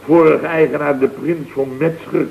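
Indignant dutch boss in old radio comedy, creaky voice, blustery, dull hissy recording. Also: male voice, a little high, fairly even intonation, normal speed. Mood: blustering. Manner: exclamatory, colloquial, indignant, repeats himself. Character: blustering, indignant middle-aged building-firm boss telling tall weekend misadventures. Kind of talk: 1970s radio comedy acting.

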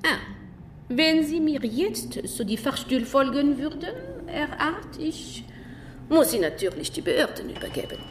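Courteous, confident Frenchwoman for radio crime drama: French accent, animated, set in a reverberant noisy space. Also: high voice, a little slow. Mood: courteous, firm. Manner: courteous, formal, peppered with French phrases. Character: polite, self-assured French project office woman. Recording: echoey space with clear background noise.

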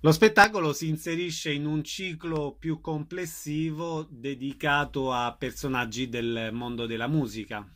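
A man's voice: a little high, a little slow, few pauses, a bit bright. A man interviewing guests on the radio, asking brief, prompting questions.

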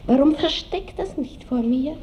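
A woman's voice: high voice, expressive, measured pace, mellow, muffled recording. An actress playing a melancholy, tender aristocratic woman musing on time in an Austrian accent, wistful and lilting, in a classic radio drama. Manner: wistful, musing, lilting old-fashioned speech. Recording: faint hiss of old radio recording.

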